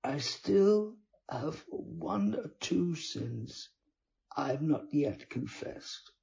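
A man voicing a sly, falsely pious male sinner making a confession in a storybook reading, with a husky, breathy voice. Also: a little high, animated, drawn-out words, a little mellow, voice pushed up, heavily acted. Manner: wheedling, mock-devout. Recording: dull lo-fi recording.

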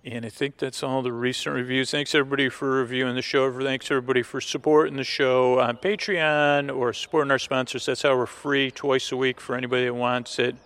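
A man hosting a sleep podcast in a creaky voice, rambling along in a low-key, hesitant, droning monologue. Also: little pitch movement, fairly quick, hardly any pauses, fairly bright tone. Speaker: podcast host.

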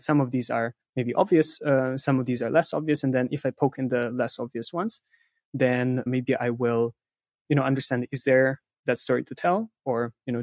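A man's voice: mid-range voice, darkish tone, dry recording, studio quality. A man being interviewed, explaining his approach conversationally and often checking in with short tag questions. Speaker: interviewee, content writing professional.

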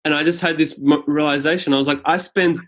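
A man's voice: a little high, fairly even intonation, a bit bright. A man from Serbia, a Serbian speaker, talking thoughtfully as a podcast guest. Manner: thoughtful, measured, earnest. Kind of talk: reflective interview talk.

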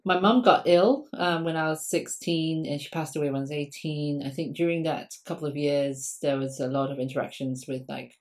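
Low-voiced woman from Singapore. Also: moderate pace, hardly any pauses, darkish tone. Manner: frequent ums, thoughtful and conversational. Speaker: guest academic. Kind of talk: podcast interview answers.

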